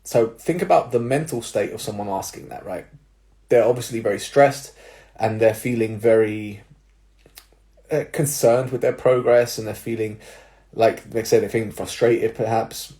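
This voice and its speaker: A young man, a personal trainer, giving casual advice to viewers with a slightly nasal, stuffy-nosed voice, explaining things step by step with filler words and quick "right?" checks. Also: lowish voice, mellow. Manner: explanatory, with tag questions and fillers. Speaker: personal trainer and jiu-jitsu coach.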